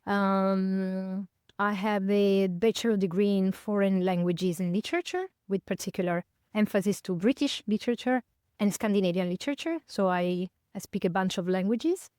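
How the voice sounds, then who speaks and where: Italian woman in her fifties, smooth voice. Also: mid-range voice, moderate pace, darkish tone, no room sound, clean studio sound. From northern Italy, near Milan. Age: 50s. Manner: thoughtful, many fillers and self-corrections. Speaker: podcast guest. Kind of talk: reflective conversational interview.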